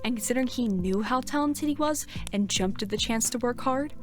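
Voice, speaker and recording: A woman's voice: a little high, a little fast, close to an everyday voice. A woman playing a brash, resentful eighteen-year-old knight in a fantasy audio drama, talking bluntly and sarcastically. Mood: bitter, resentful. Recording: music behind the voice.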